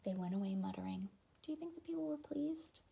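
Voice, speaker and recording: A woman's voice: high voice, animated, fairly quick, gravelly, dark tone. A woman reading a children's picture book aloud as a teacher, expressively, with asides to young listeners.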